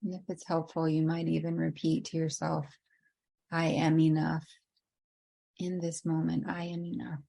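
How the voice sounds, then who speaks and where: Low, mellow woman guiding meditation. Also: completely flat delivery, creaky. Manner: slow, unhurried, gently inviting delivery with long pauses. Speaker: meditation guide. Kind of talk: guided meditation narration.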